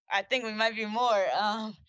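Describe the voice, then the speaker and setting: A woman's voice: fairly high pitch, level tone, measured pace, fairly bright tone, smooth, no echo. A young adult woman speaking as a podcast guest in casual conversation, chatty, with frequent fillers and restarts. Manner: persistent-sounding, chatty, many restarts and fillers. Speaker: podcast guest, basketball staff.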